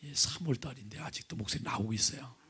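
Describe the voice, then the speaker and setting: A middle-aged male pastor preaching with a hoarse, raspy voice from vocal nodules, often sinking to a breathy near-whisper and asking the congregation questions. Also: medium pitch, fast talker, pushed and bright. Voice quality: raspy, gravelly. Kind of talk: sermon with opening prayer. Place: church sanctuary, at the pulpit.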